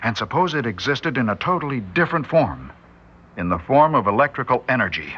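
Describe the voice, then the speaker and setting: An actor playing a grave, uneasy male scientist in 1960s radio drama, speaking deliberately with dramatic pauses. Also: mid-range voice, very animated, fairly bright tone, slightly rough. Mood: grave speculation. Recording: muffled vintage 1960s radio recording.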